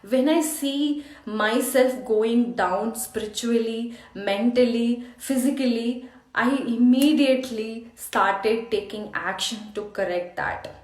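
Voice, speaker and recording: Casting: female life coach, Indian accent, unhurried delivery, earnest personal storytelling, roomy echoing sound. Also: on the high side, darkish tone. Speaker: life coach hosting a meditation challenge. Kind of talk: personal motivational storytelling to camera.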